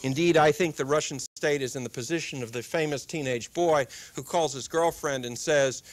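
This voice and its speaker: A man's voice: on the high side, moderate pace. A middle-aged man with a dry, wry humor, giving a public lecture at a desk microphone in a lecture room. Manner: dry wry humor, quotes with comic timing. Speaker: guest speaker.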